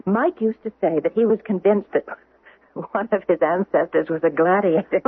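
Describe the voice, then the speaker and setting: An actress playing a young widowed history teacher, wistful and grieving, speaking hesitantly and emotionally in an old-time radio drama. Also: female voice, medium pitch, moderate pace, husky, no room sound, lightly acted. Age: young adult. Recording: muffled, band-limited 1970s radio broadcast.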